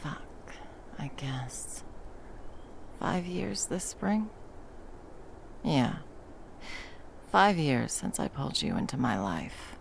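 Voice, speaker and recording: A woman's voice: very deep voice, big pitch swings, slow, vocal fry, long pauses, slight background noise, heavily acted. A woman voicing a centuries-old female monster hunter in an ASMR roleplay. She talks bluntly and swears a lot, then drops into tender murmurs. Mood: soft, tender.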